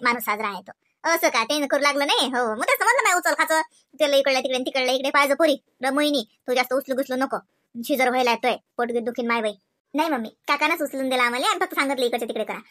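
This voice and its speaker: Woman voicing very high, bright Varhadi Marathi cartoon housewife. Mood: explaining. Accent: Varhadi Marathi from Vidarbha. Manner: exclamatory, colloquial, chatty. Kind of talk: comedy cartoon dialogue.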